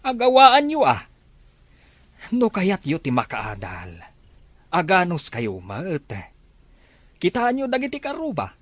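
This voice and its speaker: Man playing exasperated Ilocano farmer dad, middle-aged, medium pitch with lively swings, dry close sound. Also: slow, pauses often, no room sound, exaggerated voice. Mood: exasperated scolding. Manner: scolding, exasperated. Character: stern, weary farmer father scolding his grown children. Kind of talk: amateur family drama acting.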